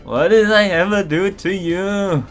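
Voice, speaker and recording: A man's voice: high voice, a little slow, soft background music, voice pushed up. A man voicing a timid young male lion cub, pleading in a plaintive, exaggerated way for a comic story reading.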